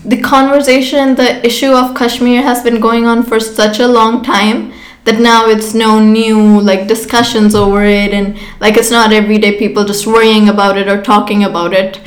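A young woman of eighteen from Pakistan answers interview questions casually, filling her sentences with "like". Her voice sits fairly high. The recording has a roomy echo. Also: little pitch movement, normal speed. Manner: frequent "like" fillers, explanatory. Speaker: podcast guest.

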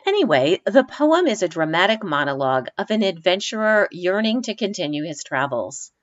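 A woman's voice: on the low side, big pitch swings, normal speed, lo-fi sound. A woman presenting scripted podcast narration and reading verse.

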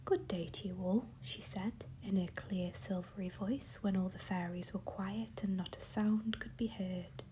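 A woman reading a fairy tale aloud in a soft, unvoiced whisper, like a bedtime story. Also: mid-range voice, steady tone, measured pace, hardly any pauses, slight background noise, rough recording.

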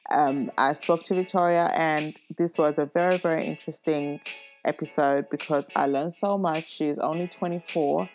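A woman hosting a podcast, chatting casually with a guest, quick with laughs and rising questions. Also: low voice, fairly even intonation, darkish tone, soft background music. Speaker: podcast host.

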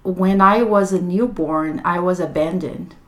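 A woman with a Brazilian Portuguese accent, a podcast guest telling her life story in a thoughtful, unhurried way. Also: on the low side. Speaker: guest, clinical social worker. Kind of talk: reflective personal storytelling. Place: living room of a home. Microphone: table microphone.

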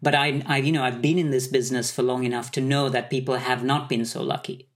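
A man's voice: medium pitch, fairly even intonation. Thoughtful man from Sri Lanka. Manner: thoughtful, measured, some hesitations. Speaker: conductor, guest. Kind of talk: reflective interview answers.

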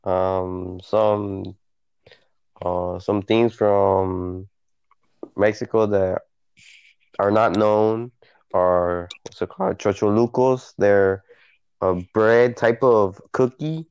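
Slow halting man, dull dry sound. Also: on the low side, steady tone, darkish tone, clean tone, dry recording. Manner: halting, searching for words. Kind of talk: casual chat.

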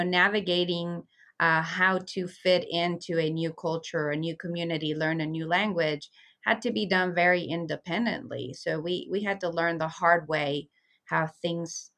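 Woman from venezuela, interview guest, earnest, reflective, slightly low voice. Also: fairly even intonation, moderate pace, fairly bright tone. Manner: earnest, reflective, with frequent fillers. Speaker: guest, community liaison. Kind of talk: conversational interview.

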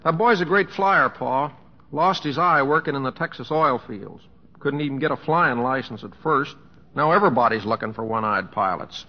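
An actor playing an easygoing middle-aged cowboy humorist with an American accent, speaking in plain, self-effacing homespun phrases in old-time radio style. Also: male voice, mid-range voice, normal speed, close to an everyday voice. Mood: reluctant. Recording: muffled old radio recording, reverberant stage.

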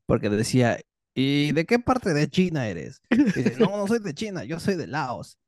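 A man's voice: on the high side, huge pitch swings, moderate pace, no room sound, studio quality. A Mexican-accented man hosting a casual podcast chat, speaking in a slangy, informal way and often interrupting himself. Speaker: podcast host.

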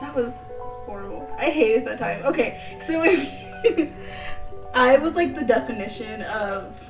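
A woman's voice: a little high, animated, measured pace, recorded from a distance, over music. A woman in her twenties hosting a casual podcast, chatty and giggly, full of "like" fillers. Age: 20s. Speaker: podcast host. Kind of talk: casual chat.

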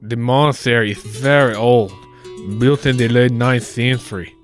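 Casting: male actor as creepy monastery priest, insistent, leering, drawn-out delivery, for comic radio play with music underneath. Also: mid-range voice, lightly acted. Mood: leering, secretive. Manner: suggestive, oily, drawn-out delivery. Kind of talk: 1940s-style radio play acting.

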